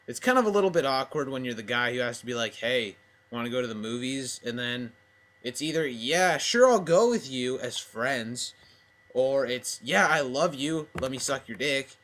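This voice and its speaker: A man's voice: mid-range voice, pushed and bright. A young adult man telling a personal story in a casual, hesitant, self-deprecating way, swearing freely. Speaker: storytelling video host.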